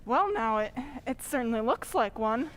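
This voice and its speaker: A woman's voice: on the high side, lively intonation, moderate pace, a bit bright, poor audio. A woman playing a timid, nervous villager who speaks hesitantly and stammers, in old-time radio style. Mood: hesitant, puzzled.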